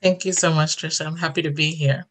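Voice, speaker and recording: A woman's voice: low-pitched, steady tone, quick pace. A woman, telling her personal story earnestly as an interview guest. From Ghana. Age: 30s.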